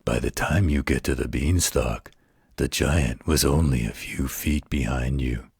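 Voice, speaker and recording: An extremely low-voiced, gentle werewolf, acted by a man, tells a bedtime story at an unhurried pace. The voice is very raspy and soothing, protective and tender, as in an intimate roleplay audio. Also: dry recording, clean studio sound, heavily acted. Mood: hushed storytelling. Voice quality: gravelly, husky.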